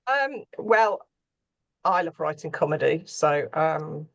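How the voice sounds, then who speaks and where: A woman's voice: low voice, animated, takes it slowly, gravelly, muffled recording. A woman talking conversationally and anecdotally as a scriptwriter on a panel. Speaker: radio drama scriptwriter, panel guest.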